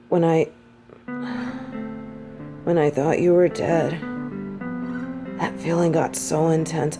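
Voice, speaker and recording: A woman's voice: low-pitched, mellow, full character voice. A woman playing a sardonic, vengeful supervillain with a tender side for her partner, in an intimate audio roleplay. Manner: teasing, sighing, halting when confessing.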